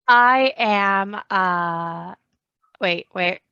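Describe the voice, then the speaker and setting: A woman's voice: mid-range voice, extremely slow, bright, forceful tone, clear, resonant tone, no echo, muffled recording. A woman guesting on a podcast, chatting casually and saying "like" often. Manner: chatty, uses "like" a lot. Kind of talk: casual chat.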